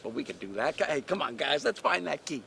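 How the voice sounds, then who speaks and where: A man's voice: on the high side, sing-song, fast talker, vocal fry, a bit bright, slight background noise, full character voice. A man voicing a folksy, big-hearted duck who chatters colloquially and repeats himself in a story. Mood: breezy, reassuring.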